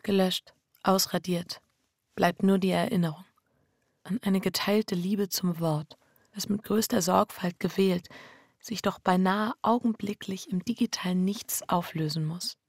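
A woman's voice: on the low side, unhurried, dry recording, studio quality. An actress playing a restless, introspective wife confessing her affair in an intimate radio drama. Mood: introspective, subdued. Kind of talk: intimate first-person radio drama acting.

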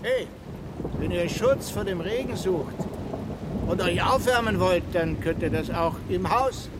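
A man's voice: high-pitched, unhurried, very noisy. An actor playing Michel, a friendly, folksy and faintly eerie countryside man who chats hospitably in a children's radio play. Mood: inviting.

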